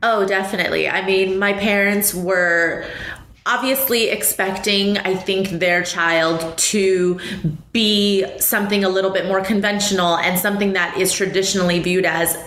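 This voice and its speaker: A woman's voice: mid-range voice, steady tone, normal speed, fairly bright tone. Chatty woman in her thirties, echoey room. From Taiwan. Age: 30s. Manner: chatty and reflective, laughs lightly mid-sentence. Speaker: podcast guest, professional poker player. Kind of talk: casual interview conversation.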